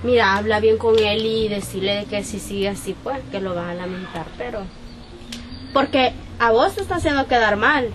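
A woman's voice: mid-range voice, normal speed, natural voice. A young Salvadoran woman playing a sympathetic, frank cousin giving advice in an amateur sketch. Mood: concerned, advising. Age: young adult. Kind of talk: amateur improvised sketch acting. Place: outdoors in a rural yard. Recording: outdoor camera sound, faint ambient noise.

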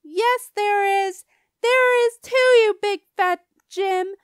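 A woman voicing a brash, excitable, stubborn young schoolgirl in a children's audiobook, loud and emphatic. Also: very high-pitched, slow, no echo, studio quality, voice pushed up. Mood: angry.

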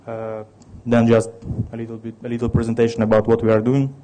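Man, 30s, Russian accent, dark mellow voice, flat delivery, halting tech presenter. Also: medium pitch. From Ukraine. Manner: halting, many restarts and fillers. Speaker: conference speaker. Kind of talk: technical conference presentation.

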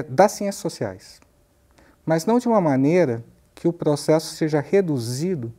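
Mellow Brazilian man, halting academic delivery. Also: a little high. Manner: measured, halting, searching for words. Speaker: university professor, interview guest. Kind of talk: explanatory academic interview. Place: TV studio. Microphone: lapel mic.

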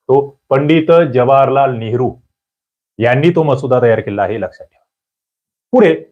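A man giving a quiz-style exam-prep lecture, firing questions and demanding quick answers from his students. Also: a little mellow. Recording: muffled low-fidelity recording.

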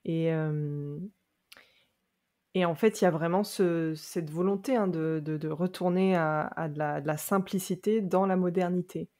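A woman's voice: lowish voice, fairly even intonation, measured pace. A woman hosting a podcast interview, talking conversationally with frequent hesitations and easy laughter. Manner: warm, laughs easily, hesitant restarts. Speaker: podcast host.

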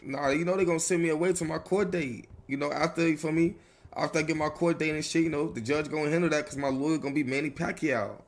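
A man's voice: fairly high pitch, fairly even intonation, fast talker, close to an everyday voice. Man playing a cocky, slangy young gangster. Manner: slangy, swearing, joking, dismissive. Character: cocky young male gangster jailed, reassuring his woman. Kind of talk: improvised role-play, slangy street talk.

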